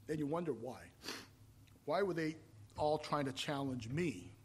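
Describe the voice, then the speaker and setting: A man in his fifties, from Taiwan, preaching a sermon and telling his own story, rising to loud emphatic points and falling back to quiet, reflective storytelling. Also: fairly high pitch, animated, a little slow, a little hiss or hum. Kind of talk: sermon with personal testimony.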